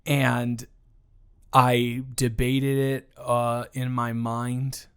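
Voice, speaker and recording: Awkward, teasing ex-boyfriend played by a man, slow delivery, medium pitch, stammering, for intimate audio roleplay. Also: monotone, clean tone. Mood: candid, hesitant. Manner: halting, stammering, with long pauses. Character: awkward, earnest, teasing young ex-boyfriend trying to reconcile. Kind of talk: improvised romantic audio roleplay, addressed to the listener.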